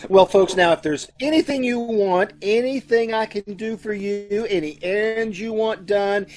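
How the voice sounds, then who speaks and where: A man's voice: sits high, measured pace, darkish tone, soft background music. A man playing a nosy, bossy hotel honeymoon attendant with a pompous, fussy delivery in an old-time radio comedy. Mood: meddling, smug.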